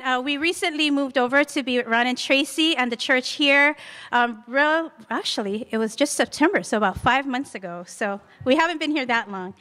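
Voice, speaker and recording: A woman in her forties, originally from Hawaii, speaks into a podium mic in a large hall. Her voice is high and bright, and the room adds a long reverb tail. She talks in a chatty, encouraging way and slips in light jokes. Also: clear, resonant tone. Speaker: women's day speaker. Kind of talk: church lesson talk. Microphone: stand mic at podium.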